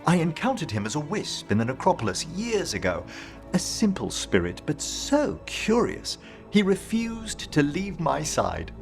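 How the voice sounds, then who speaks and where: An actor playing a gentle, earnest scholar of necromancy in a video game, speaking formally and precisely. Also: male voice, a little high, wildly swooping pitch, a little slow, gravelly, soft background music, heavily acted. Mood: fond, musing. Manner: formal, precise, eloquent speech. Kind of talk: video game character acting.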